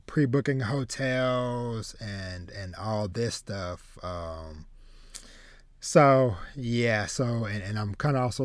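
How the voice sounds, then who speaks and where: A man in his thirties hosting a casual podcast, speaking haltingly and often repeating words. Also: mid-range voice, dry recording, studio quality. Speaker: podcast host. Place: small home room with shelves, video call. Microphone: close desk mic.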